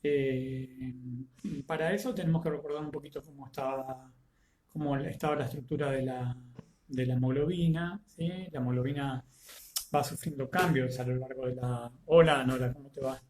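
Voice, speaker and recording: A man with a Rioplatense Spanish accent, congested with a cold and fever, greeting students by name before a live online lecture, with many halting fillers. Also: medium pitch, fairly even intonation, a little mellow, roomy sound, a little hiss or hum. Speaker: teacher.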